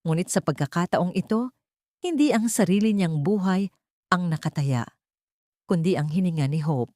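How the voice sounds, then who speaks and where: A woman's voice: fairly low pitch, expressive, moderate pace, dark tone, no room sound, clean studio sound. A woman reading a scripted audio story as a dramatic narrator.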